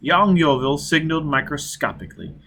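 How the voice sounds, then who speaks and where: A man's voice: a little high, a little fast. A man reading a novel aloud as dramatic scripted narration. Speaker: audiobook narrator. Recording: steady background noise.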